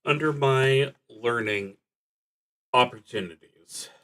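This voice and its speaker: A man with a mid-pitched voice talks through a solo roleplaying game. He speaks very slowly and deliberately, pausing often as he reads prompts aloud and thinks through his moves. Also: a bit bright. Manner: halting and deliberate, with long pauses. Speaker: solo tabletop roleplaying streamer.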